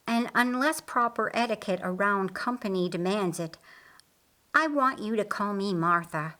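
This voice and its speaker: A woman's voice: mid-range voice, fairly bright tone, close to an everyday voice. Woman as loyal female friend, caring, frank, earnest, reassuring, audiobook dialogue.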